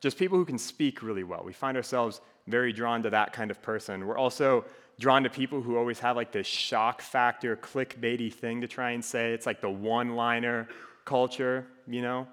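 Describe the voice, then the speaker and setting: A young man with a faint Minnesotan accent speaks to a student group in a relaxed, joking, conversational way. His voice sits in a middle pitch, and it rings out with long reverb in a big hall. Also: normal speed. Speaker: campus ministry speaker. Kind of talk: casual sermon to a student group.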